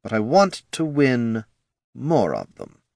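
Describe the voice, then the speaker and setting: A man's voice: mid-range voice, wildly swooping pitch, vocal fry, a little mellow, no echo, voice pushed up, heavily acted. Man as a dry, hollow-voiced lich overlord. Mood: unimpressed. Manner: measured, unimpressed. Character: weary, dry undead lich wizard, male overlord. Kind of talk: fantasy audiobook character voice.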